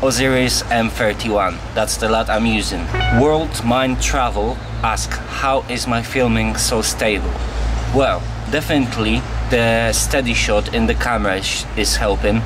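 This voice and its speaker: A man's voice: mid-range voice, measured pace, background noise. Polish man vlogging on a busy street. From Poland. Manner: plain, earnest, answers viewer questions one by one. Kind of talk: casual Q&A to camera. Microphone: on-camera mic.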